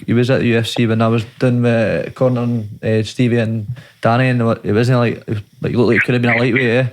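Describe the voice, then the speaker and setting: A man, a fighter and coach, chatting casually and quickly, often ending his lines with "man". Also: medium pitch, little pitch movement, noisy background. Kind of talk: casual chat.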